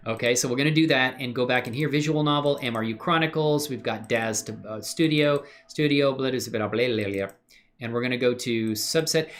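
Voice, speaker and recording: A man's voice: mid-range voice, fairly bright tone, a little hiss or hum. A man live streaming while he works on 3D models, chatting loosely with viewers in a rambling, self-deprecating way. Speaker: live streamer doing 3D work. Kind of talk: casual live-stream chat while working.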